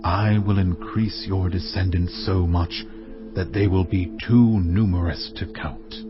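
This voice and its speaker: An actor playing a calm angel of the Lord in a dramatized scripture reading, with measured, solemn authority. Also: male voice, deep voice. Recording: slightly dull audio, music behind. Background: background music.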